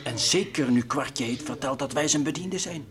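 An actor playing a proud, stubborn, grumbling army lieutenant in an old children's radio play, blunt and indignant. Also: male voice, mid-range voice, normal speed, crowd noise, natural voice.

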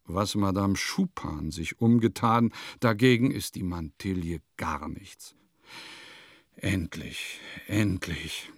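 An actor playing a wry diarist of bygone provincial life in a radio play, with deliberate, declamatory phrasing. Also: male voice, on the low side, dry recording, clean studio sound. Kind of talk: literary radio play monologue.

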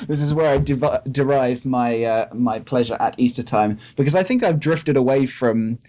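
A man with a mid-pitched voice banters as co-host of a casual podcast. His delivery is dry and playful, with mock-grandiose turns of phrase. The audio is slightly dull and lo-fi. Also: normal speed, mellow.

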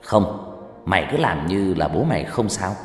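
A man's voice: sits low, very animated, normal speed, soft background music. A man voicing an angry old village sorcerer who scolds and commands bluntly in a dramatized horror story. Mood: stern, urging. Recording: echoey hall reverb.

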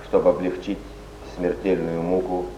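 An actor playing a sober chronicler and friend, narrating in a declarative, pointed stage manner. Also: male voice, sits low, completely flat delivery, normal speed, heavy background noise, natural voice. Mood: grave. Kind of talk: dramatic narration. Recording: distant muffled echoey theatre recording, music behind.

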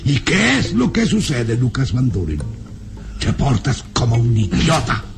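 An actor playing a cruel, domineering older baron in a radio serial, speaking with theatrical contempt. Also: male voice, medium pitch, wildly swooping pitch, measured pace, over loud music. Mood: scornful, scolding. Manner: contemptuous, taunting, imperious.

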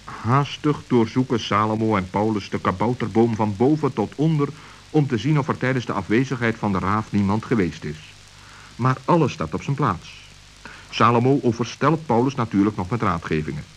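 A man narrating a scripted children's story in a measured, dramatic manner. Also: on the low side, expressive. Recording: hissy old radio recording.

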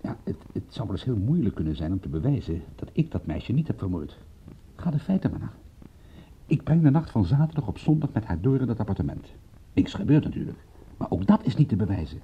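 A man playing a cynical, excitable private detective in a radio drama, speaking in a wry, emphatic, husky voice. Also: on the low side, a little fast, a little hiss or hum. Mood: agitated, insistent. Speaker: detective and narrator. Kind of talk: radio drama acting and narration. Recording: dull, muffled old radio recording.

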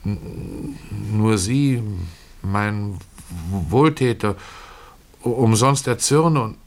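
Man acting a plaintive drunkard, voice pitched up. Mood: self-pitying. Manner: halting, plaintive, stammering. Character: meek, pitiful male drunkard, pleading and evasive.

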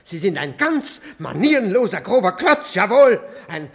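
An actor in a 1960s German radio play voicing an indignant, flustered, pompous jealous husband who stammers through formal phrases. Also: male voice, high-pitched, big pitch swings, normal speed, exaggerated voice. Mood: indignant, agitated.

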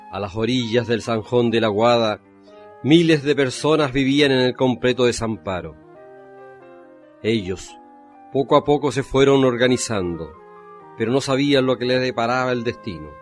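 A man narrates a radio drama from a script. His medium-pitched voice is solemn and measured, with music playing faintly underneath.

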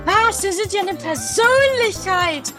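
A woman playing an excitable, chatty young woman in a comedy radio play, gushing and stammering dramatically. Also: very high-pitched, a little slow, over music. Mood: excited.

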